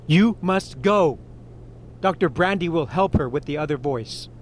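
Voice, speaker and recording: An actor playing a stern exorcist priest in a horror radio drama, solemn and commanding. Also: male voice, fairly high pitch, expressive, a little slow, slight background noise.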